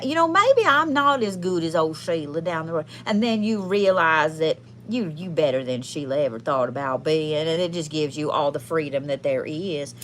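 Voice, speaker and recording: A woman's voice: mid-range voice, a little hiss or hum, natural voice. Woman putting on a brassy Southern trailer park queen. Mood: reassuring, sassy. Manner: folksy, sweary, chatty, calls listeners honey and y'all. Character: brassy, foul-mouthed, kindhearted trailer park queen fortune teller. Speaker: livestream tarot reader. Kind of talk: casual comic live card reading in persona.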